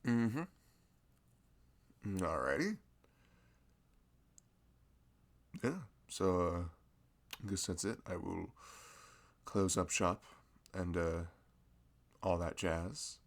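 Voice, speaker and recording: A man acts out a bashful young male florist in a casual audio roleplay. His voice is low and gravelly, yet it moves up and down with lively swings. He rambles sweetly and apologetically, flustered and putting himself down as he talks to the listener. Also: slow, long pauses, full character voice. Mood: easygoing.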